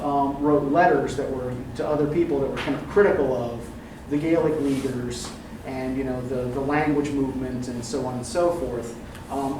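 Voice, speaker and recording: A male literature professor leading a class discussion, talking thoughtfully and checking in with "right?" as he goes. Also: medium pitch. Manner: thoughtful, often adds "right?" and "um". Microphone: distant room recording. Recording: echoey classroom, distant mic, background noise.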